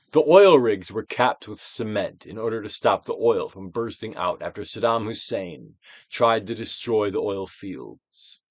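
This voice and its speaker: A man giving a dramatic, deliberate reading of a self-help book as a guided exercise, with pauses and big swells. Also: medium pitch, no echo. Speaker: audiobook reader and actor.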